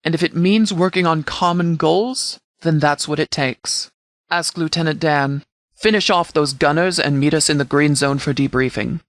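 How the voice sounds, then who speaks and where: A man playing a composed, pragmatic military commander in a video game, speaking in a formal, measured, tactical way. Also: on the high side, fairly bright tone, dry recording, studio quality. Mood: composed, authoritative. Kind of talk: video game dialogue acting.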